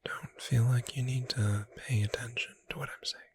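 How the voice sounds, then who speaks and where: A woman playing a gentle witch bookseller in a breathy, soothing, whispered ASMR sleep roleplay. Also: extremely low pitch, steady tone. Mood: gentle, caring. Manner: slow, soothing, deliberate. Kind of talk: soft-spoken ASMR sleep roleplay, guided relaxation.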